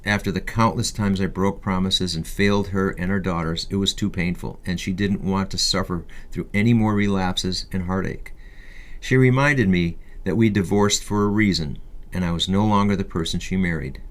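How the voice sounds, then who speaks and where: Deep-voiced man reading his memoir. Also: steady tone, moderate pace. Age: 50s. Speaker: author reading a personal memoir. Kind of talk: scripted first-person narration, audiobook reading.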